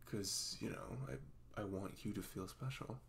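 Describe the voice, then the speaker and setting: A man's voice: on the low side, gravelly, a little hiss or hum. A man playing a sweet, goofy, flustered young boyfriend in an intimate ASMR roleplay, stammering, apologetic and nervously tender. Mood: sheepish, nervous. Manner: stammering, halting, apologetic, breaking into soft nervous murmurs.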